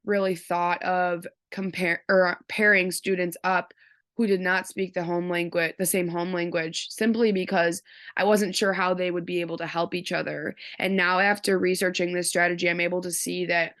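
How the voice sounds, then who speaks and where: A woman's voice: on the low side, level tone, moderate pace, fairly bright tone. A young adult woman giving a recorded class presentation, explaining a teaching strategy with frequent fillers. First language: English. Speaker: graduate education student presenting.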